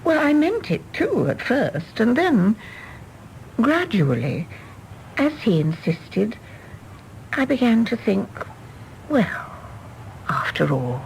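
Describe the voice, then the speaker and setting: An actress playing a weary, self-reproachful middle-aged widow with an English Received Pronunciation accent in a radio drama. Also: female voice, a little high, sing-song, takes it slowly, vocal fry, pauses often, darkish tone, slight background noise, heavily acted, poor audio. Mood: rueful, confiding. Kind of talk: British radio drama acting.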